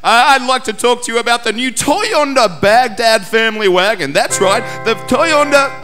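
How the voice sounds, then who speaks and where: An actor playing a pompous talkback radio bigot, declaiming in a live comic radio play. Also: male voice, very high voice, normal speed, pushed and bright. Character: bombastic talkback radio bigot nicknamed the Parrot. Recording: live stage broadcast, music underneath.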